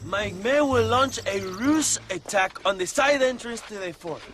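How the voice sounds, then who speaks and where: Cheery Mexican army captain, very high pitch, sharp bright tone, reckless, Western game. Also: male voice, lively intonation, a little slow, a little hiss or hum. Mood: cheerfully scheming. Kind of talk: Western video game acting.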